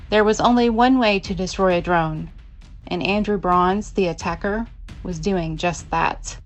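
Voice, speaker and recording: A woman reading scripted dramatic narration as the narrator of an audio drama. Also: medium pitch. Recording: music behind the narration. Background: background music.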